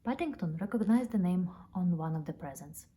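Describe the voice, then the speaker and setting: A woman reading a children's story aloud in an expressive storytelling style. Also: fairly low pitch, fairly quick. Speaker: storybook reader. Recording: noticeable background noise, ambience.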